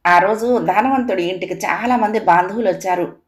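A woman's voice: mid-range voice, moderate pace. A woman narrating a scripted moral folk tale as a storyteller.

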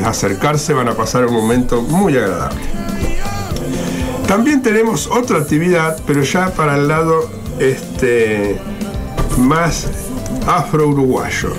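A man with a Buenos Aires Argentine accent hosting a radio show, reading out event notices in an informal, ad-libbed, conversational way. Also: medium pitch.

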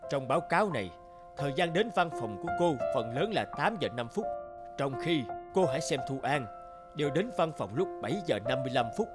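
Stern, lecturing cartoon boss. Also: male voice, fairly high pitch, big pitch swings. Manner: measured, lecturing. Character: stout stern middle-aged male company boss. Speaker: voice actor. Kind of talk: animated cartoon dubbing. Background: background music.

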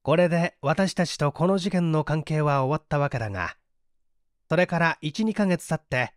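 Male audiobook reader, high voice, lively intonation, for literary mystery narration. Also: moderate pace, no room sound, clean studio sound.

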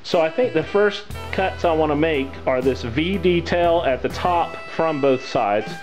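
A man in his forties, host of a home woodworking channel, talking through a project in a relaxed, plain-spoken and self-deprecating way from his shop. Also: fairly high pitch, unhurried. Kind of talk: casual how-to narration. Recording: roomy shop with music bed. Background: guitar background music.

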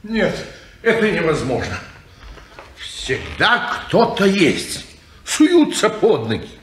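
A peevish grumbling gentleman. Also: sits high, wildly swooping pitch, takes it slowly, reverberant, a little hiss or hum, exaggerated voice.

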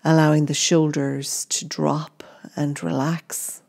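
Slow, low-voiced woman guiding meditation. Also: level tone, vocal fry. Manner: gentle, unhurried instruction in short phrases. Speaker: meditation guide. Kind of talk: guided meditation narration.

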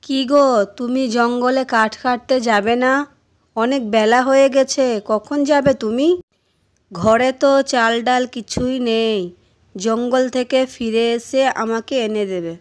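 A woman's voice: on the high side, steady tone, unhurried, clear, resonant tone, lightly acted. A woman playing a caring village housewife, the wife of a woodcutter, in an amateur cartoon dub. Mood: nagging, concerned. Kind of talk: amateur Bengali cartoon dubbing.

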